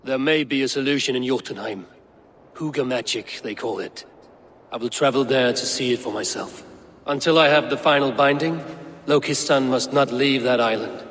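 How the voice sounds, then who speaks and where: A man's voice: mid-range voice, normal speed, fairly bright tone, lightly acted. Man acting a commanding Norse god-king in echoing halls. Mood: grave resolve. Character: commanding, stern Norse high god and ruler. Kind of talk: video game cutscene acting. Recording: long reverb, music behind.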